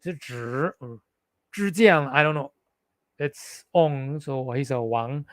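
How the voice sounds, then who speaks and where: A man's voice: mid-range voice, slow, dry recording. A man from the Philippines who speaks with a Singaporean English accent, ranting indignantly to his viewers and often restarting his sentences. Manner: indignant, heated, with frequent restarts. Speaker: vlogger. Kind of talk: ranting monologue to camera.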